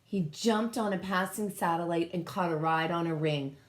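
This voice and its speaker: A woman reading a picture book aloud as a teacher, pausing to explain and put questions to young children. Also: fairly low pitch, slight background noise. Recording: echoey room sound.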